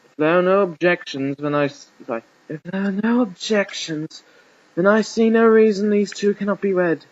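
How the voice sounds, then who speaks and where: Man doing a raised-voice, ceremonious raspy archbishop. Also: high voice, normal speed, muffled recording, pitched above the performer's own voice, lightly acted. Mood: solemn, declaring. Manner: formal, declamatory. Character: rasping, ceremonious male archbishop officiating a wedding.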